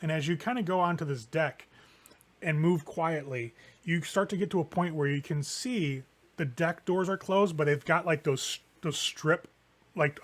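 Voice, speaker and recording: Male game master, higher register, conversational horror narration for a tabletop game. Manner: casual, conversational improvised narration with swearing.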